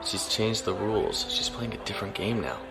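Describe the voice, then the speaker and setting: An actor playing a self-assured naval officer, giving a dry, cool inner monologue. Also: male voice, on the low side, animated, fairly quick, creaky, over music. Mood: amused. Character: cool, cocky male naval officer in disguise. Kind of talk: audio drama acting.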